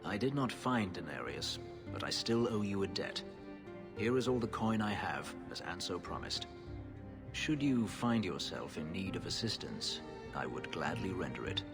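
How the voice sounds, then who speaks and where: Deep-voiced brooding elf fugitive. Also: male voice, lively intonation. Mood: contrite. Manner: formal, grave, measured speech. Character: brooding escaped elf slave, bitter, guarded fighter. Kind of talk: dramatic video game acting. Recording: music playing behind the voice.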